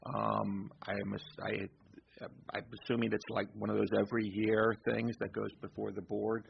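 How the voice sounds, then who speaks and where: A man chairing a committee meeting, relaxed and hesitant with frequent ums, recorded in a meeting room. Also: on the low side, normal speed, vocal fry, a little hiss or hum. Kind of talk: running a meeting. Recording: dull lo-fi recording.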